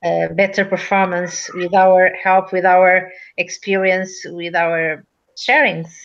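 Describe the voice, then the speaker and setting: A woman's voice: on the low side, level tone, slow, a bit bright. A young woman from Portugal, speaking English with a Portuguese accent, hesitant and apologetic as she searches for words in a class discussion. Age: young adult. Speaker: student in a discussion group. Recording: dull lo-fi audio.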